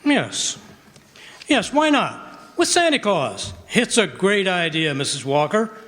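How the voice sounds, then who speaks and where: A man playing a bluff, excitable department store boss in a stage radio drama, hearty and exclamatory. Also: high voice, takes it slowly, heavily acted. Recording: echoey hall stage recording.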